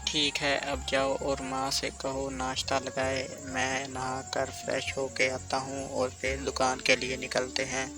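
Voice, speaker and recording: A man's voice: mid-range voice, monotone, unhurried, few pauses, close to an everyday voice. A man voicing an earnest young village shopkeeper over music. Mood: practical, brisk. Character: earnest, brotherly young village sweet-shop keeper. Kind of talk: scripted cartoon dialogue.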